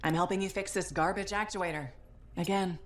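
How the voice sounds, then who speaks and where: Actress as tough confident freelancer pilot lady, video game cutscene, talks really fast, lowish voice. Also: steady tone, close to an everyday voice. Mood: matter-of-fact. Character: capable, determined young female freelancer mercenary pilot. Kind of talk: video game cinematic acting.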